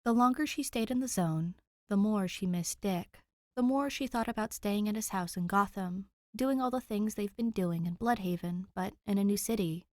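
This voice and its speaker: A young woman narrates with an informal, expressive storytelling delivery. Her voice sits at an ordinary pitch for a woman. The sound is dry, with very little room in it. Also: moderate pace, no echo. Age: young adult. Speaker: podfic reader. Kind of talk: informal intro and expressive fan fiction narration.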